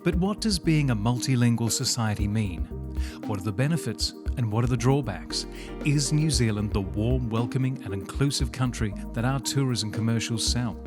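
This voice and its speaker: Brief: male narrator, mid-pitched, even scripted read over a clear music bed. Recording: music underneath.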